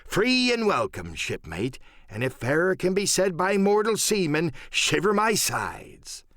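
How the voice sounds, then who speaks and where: A man voicing an older pirate captain in an audiobook, sly and genial yet menacing, drawling sailor's slang in unhurried phrases. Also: high-pitched, sing-song, a bit bright, no echo, studio quality, pitched above the performer's own voice, heavily acted. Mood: smoothly threatening. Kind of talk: audiobook radio-play character acting.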